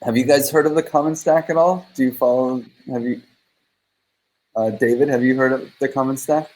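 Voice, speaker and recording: A man's voice: medium pitch, steady tone, moderate pace, darkish tone, poor audio. Rambling casual man hosting a livestream. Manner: loose, rambling, lots of fillers. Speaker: host.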